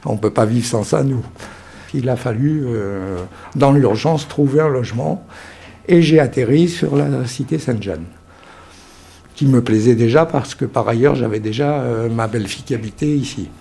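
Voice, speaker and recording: Older man from Algeria, interviewee; gravelly, dark voice, halting delivery with many fillers, light background hiss. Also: medium pitch. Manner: hesitant, many fillers and restarts. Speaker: interviewee, local resident. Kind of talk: casual spoken testimony.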